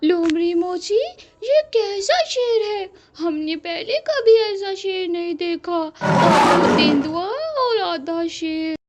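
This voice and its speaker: Woman as a squeaky-high innocent little lion cub. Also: takes it slowly, hardly any pauses, very noisy. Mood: wary. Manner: childlike, questioning. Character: little male lion cub, innocent, proud royal child.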